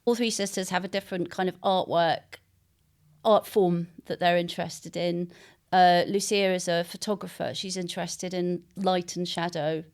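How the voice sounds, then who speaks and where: A woman novelist being interviewed, speaking conversationally and thoughtfully, often pausing to search for words. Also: fairly low pitch, steady tone.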